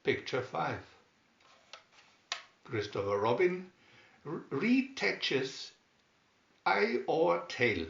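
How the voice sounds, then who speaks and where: Slow, animated male storybook reader in a roomy space. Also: a little high, gravelly. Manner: deliberate, with emphatic swoops on key words. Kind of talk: scripted children's story narration, read aloud slowly and expressively. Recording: dull, roomy home recording.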